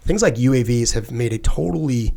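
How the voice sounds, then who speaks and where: A man's voice: medium pitch, fairly quick, creaky, mellow. A middle-aged man, a former fighter pilot, speaking as a podcast guest in an earnest, conversational way with lots of "like" fillers. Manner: earnest, with frequent "like" fillers and restarts. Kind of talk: casual conversational interview.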